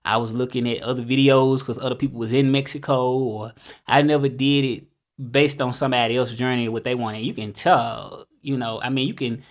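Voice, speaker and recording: A man in his thirties with a Southern US accent speaks straight to his viewers. His pitch sits mid-range. He rambles, with plenty of "um" and "like" as he circles back over his thoughts. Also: fairly even intonation, a little fast. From United States. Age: 30s. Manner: rambling, many fillers and restarts. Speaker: vlogger. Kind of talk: casual monologue to camera. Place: home in Mexico, dogs barking outside.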